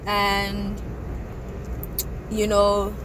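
Slow-talking young woman vlogging in car. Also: medium pitch, completely flat delivery. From South Africa. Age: 20s. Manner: reflective, grateful, chatty with frequent "you know". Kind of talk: casual vlog talk to camera. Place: inside a car while driving. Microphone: phone camera mounted on the dashboard. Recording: road and engine noise in car.

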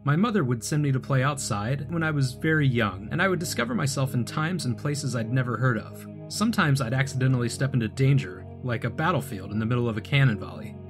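An actor playing an earnest wanderer unstuck from time, explaining himself in a measured way in an audio drama. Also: male voice, medium pitch, close to an everyday voice. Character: earnest male time-unmoored wanderer recounting his strange life. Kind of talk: audio drama acting, calm storytelling.

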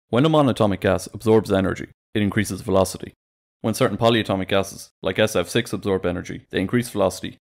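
A man reading scripted educational narration in a clear, explanatory way. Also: low voice. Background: background music.

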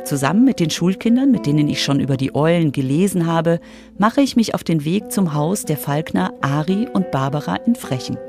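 Woman narrating a kids radio feature, creaky voice, bit of music behind. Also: low voice, expressive, brisk.

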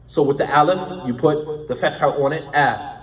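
A high-pitched man gives a fervent class lecture, hammering points home by saying them twice. His voice carries through a roomy hall with a long ring of reverb, picked up from some distance off the mic. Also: moderate pace. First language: English. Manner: emphatic, impassioned, repeats points for stress. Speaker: Islamic studies teacher.

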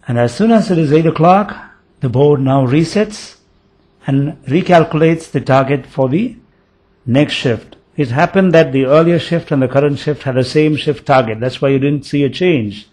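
A man giving a voice-over for a product demonstration, explaining it step by step in a measured way. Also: on the high side, a little mellow. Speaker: product demo narrator.

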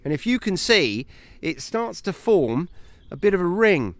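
A chatty man in his thirties, his voice sitting a little high, talks eagerly while he walks through an open field. The phone is held at arm's length, and there is no room echo around his voice. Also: big pitch swings, measured pace. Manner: chatty and enthusiastic, talking while walking. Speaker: homesteading channel host. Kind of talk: walking vlog, mushroom foraging tips. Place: outdoors in a grassy paddock.

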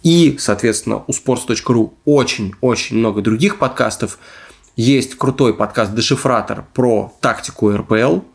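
A man's voice: mid-range voice, normal speed, creaky. A man hosting a podcast in a casual storytelling style, with wry, hedging asides. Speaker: podcast host.

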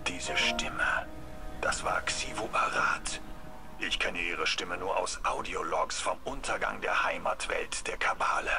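Stern commander, widely swinging delivery. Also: male voice, low voice, wildly swooping pitch, a little slow, creaky, in a whisper, music in the background, full character voice. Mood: hushed, grave. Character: stern, passionate male military commander. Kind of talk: dramatic video game acting.

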